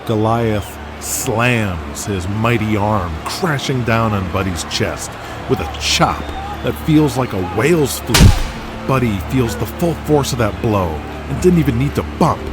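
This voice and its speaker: A man's voice: fairly low pitch, lively intonation, measured pace, loud music behind the voice. A man reading scripted narration for an audio drama in a dramatic storytelling style.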